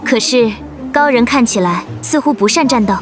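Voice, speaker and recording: An actress playing an earnest young female sect disciple in an animated drama, formal and concerned. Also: on the high side, normal speed, close to an everyday voice. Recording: music behind the voice.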